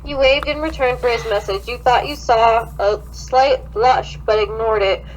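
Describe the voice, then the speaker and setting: A woman's voice: on the high side, normal speed, slight background noise, low-quality recording. A woman hosting a podcast, giving a theatrical, mocking comedic reading of fan fiction. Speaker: podcast host.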